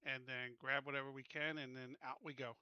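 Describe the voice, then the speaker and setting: A man's voice: mid-range voice, little pitch movement, fast, smooth, no room sound. A man chatting casually as a player in a tabletop game, recorded on lo-fi voice chat audio.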